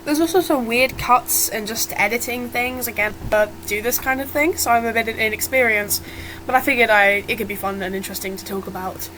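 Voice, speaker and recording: A woman with a fairly high voice, a little rough from being sick, chats informally through her drawing process. She is unscripted and self-deprecating, recorded without a proper mic, with a faint fan whirring behind her. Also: fairly quick, sharp tone, slight background noise, rough recording. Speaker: digital artist narrating own speedpaint. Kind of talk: casual unscripted art commentary. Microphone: built-in or improvised, no dedicated mic.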